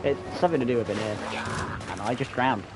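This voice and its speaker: A man chats through his gameplay in a medium-pitched voice. He rambles casually and pokes fun at himself. Game music is clearly heard behind him. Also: fast. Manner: self-deprecating, rambling. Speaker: game streamer.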